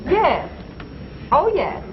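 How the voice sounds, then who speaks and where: Polite, unhurried office receptionist. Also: female voice, sits high, big pitch swings, heavily acted. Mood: busy, polite. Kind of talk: 1950s comedy acting. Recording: dull noisy 1950s broadcast, loud audience.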